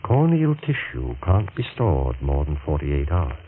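A scheming, vindictive surgeon in an old radio play, with a very deep voice that swoops up and down as he insinuates. The broadcast sounds thin and tinny, with a faint hiss behind it. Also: wildly swooping pitch, full character voice. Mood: persuasive. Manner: menacing, measured and insinuating. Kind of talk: 1940s radio acting.

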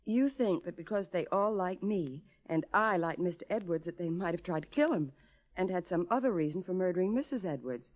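A woman's voice: fairly low pitch, animated, brisk, a little mellow. An actress playing a charming, nervy young woman in a 1940s radio drama. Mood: uneasy. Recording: dull old radio recording.

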